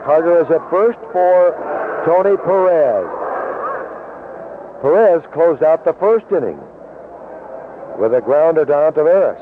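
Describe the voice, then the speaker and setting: Tinny noisy 1970s radio broadcast, male baseball announcer, somewhat high-pitched voice, clipped play-by-play phrasing. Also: expressive, a little slow, long pauses. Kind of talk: live sports commentary. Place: outdoor ballpark broadcast booth.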